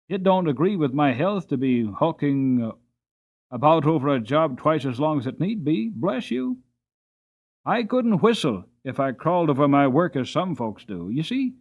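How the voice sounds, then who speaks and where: A man's voice: a little high, darkish tone, muffled recording. A man voicing a small, cheerful old hostler and former jockey, proud and talkative, with a folksy, chatty manner in an audiobook. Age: older. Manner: chatty, folksy, interjects "bless you" and "you see". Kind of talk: dramatic audiobook character voice.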